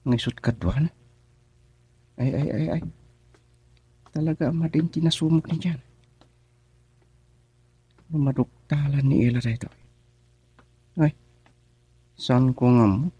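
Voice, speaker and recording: Radio drama: male actor as a teasing Ilocano man, mid pitch, dry booth. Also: a little slow, pauses often, warm tone, husky, no room sound. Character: casual teasing man named Cardo.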